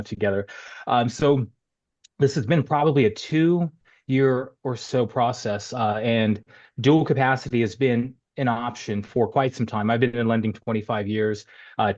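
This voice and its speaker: A man's voice: fairly low pitch, a little mellow, dull audio. A middle-aged man speaking as an interview guest, easygoing and loose, with many "uh"s and restarts. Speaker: bank lending executive, guest. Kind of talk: casual interview talk.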